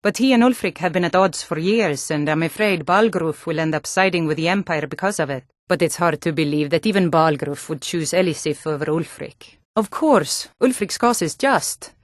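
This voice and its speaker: A woman's voice: sits low, moderate pace, hardly any pauses, no echo, clean studio sound, natural voice. A woman playing an earnest, protective Nord townswoman in a fantasy video game, with a plain-spoken, emphatic delivery. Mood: firm, political. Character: earnest, protective Nord townswoman, no longer young, loyal to rebels.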